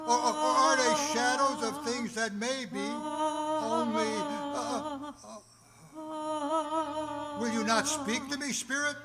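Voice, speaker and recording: A terrified, repentant old miser in a radio play. His voice is very high and slow, trembling and stammering as he pleads. It rings in a reverberant hall. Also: steady tone. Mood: fearful. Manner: stammering, tremulous, drawn-out pleading. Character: frightened, remorseful old miser pleading with a spirit. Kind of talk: theatrical radio drama acting. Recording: echoey hall, music underneath.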